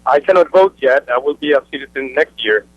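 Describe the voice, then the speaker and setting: Venezuelan-accented caller on a phone line. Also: male voice, medium pitch, lively intonation, no room sound. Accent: Venezuelan Spanish. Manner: earnest, halting. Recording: muffled dry phone line.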